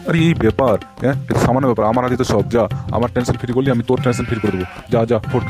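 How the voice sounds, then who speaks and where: A man's voice: medium pitch, steady tone, very fast, close to an everyday voice. A man voicing a blustering, colloquial middle-aged headmaster and father in an amateur comic cartoon. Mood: smug relief. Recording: music behind the voice.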